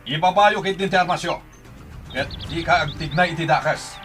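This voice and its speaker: Radio drama role: male actor as stern armed cop, high commanding Ilocano-accented voice, music behind. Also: normal speed, lightly acted. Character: stern armed policeman ordering surrender.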